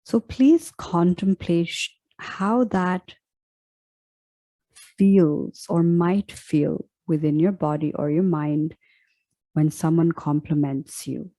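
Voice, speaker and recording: A woman leading a guided meditation as a teacher, speaking in a soft, deliberate, instructional way. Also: lowish voice, dry recording.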